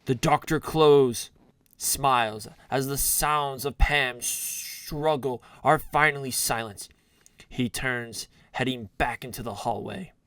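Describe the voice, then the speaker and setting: A man's voice: medium pitch, slow, fairly bright tone, smooth, no room sound. A man reading dramatic scripted narration for an audio drama.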